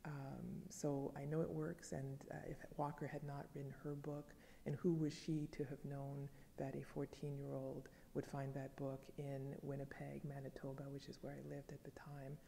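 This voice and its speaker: Haitian-born woman professor, French first language, 40s, measured reflective delivery, mellow gravelly voice, faint room hum. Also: very deep voice, monotone, long reverb tail. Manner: thoughtful, measured storytelling. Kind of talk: reflective interview answer to camera. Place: dim wood-paneled library. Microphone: fixed camera, seated at medium range.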